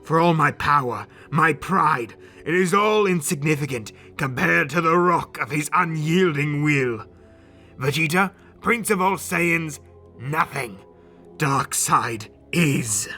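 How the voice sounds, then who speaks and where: A proud alien warrior prince in a dramatic audio drama, defiant and bitter. The voice is pitched fairly high, tense and sharp, with arrogant, declamatory delivery that swings widely. Faint music sits underneath. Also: male voice, lively intonation, slow, exaggerated voice. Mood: anguished.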